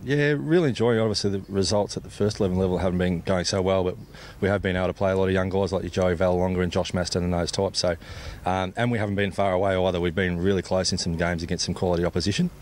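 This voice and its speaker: A man's voice: low-pitched, steady tone, rapid-fire, few pauses. An Australian man, a cricket captain, giving a colloquial, laid-back sports interview outdoors. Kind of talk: post-play sports interview. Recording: clear background noise, outdoor ambience.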